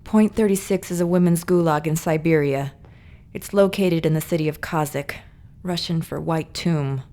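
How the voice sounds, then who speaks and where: A woman's voice: low-pitched, moderate pace, warm tone. An actress playing a grave, determined woman secret agent in a video game cutscene.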